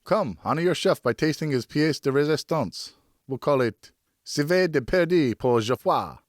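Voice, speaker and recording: Audiobook role: encouraging fatherly French hunting mentor acted by a man, lifted pitch, close dry recording. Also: expressive, moderate pace, no room sound, close to an everyday voice. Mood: genial, proud. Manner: encouraging, instructive. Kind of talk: audiobook character dialogue.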